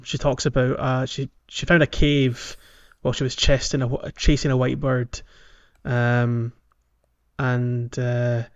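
A man's voice: medium pitch, dull audio. A man chatting casually as a gaming podcast host, walking through things in a hesitant, explanatory way.

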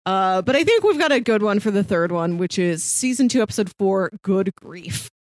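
A young adult woman chats casually about television as a podcast host. Her voice sits at an average pitch and is recorded dry, with no room sound. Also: lively intonation, normal speed, dry recording. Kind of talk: casual chat about TV shows.

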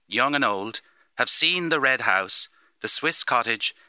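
A man's voice: medium pitch, bright, forceful tone, no echo. A man performing a formal Victorian newspaper reporter, declaiming his report for a radio drama.

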